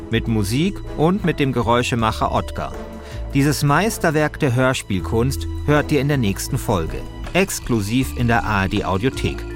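A man hosting a podcast, moving between casual chat and scripted narration. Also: medium pitch. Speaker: podcast host and author.

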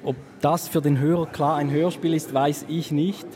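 Even-toned man amid crowd chatter. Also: on the high side, normal speed. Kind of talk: reflective interview answer. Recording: crowd noise behind the voice.

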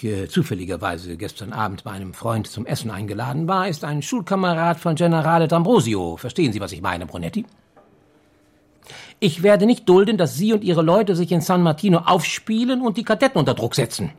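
An actor playing a pompous, irritable senior police chief in a radio crime drama, haughty and emphatic. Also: male voice, fairly high pitch. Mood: officious. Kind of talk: German radio play acting.

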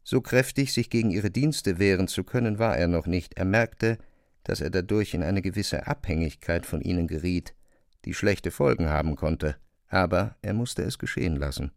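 A man's voice: low-pitched, moderate pace, gravelly, a little mellow, dry recording. A man narrating a literary novel as a scripted reading, close to a studio microphone. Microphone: close, dry studio microphone.

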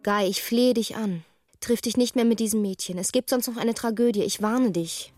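A woman's voice: on the high side, moderate pace, a little mellow, natural voice. An actress playing a crook's jealous, bitter young girlfriend in a dubbed 1950s thriller, reproachful and insistent. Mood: pleading. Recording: film soundtrack with clear music.

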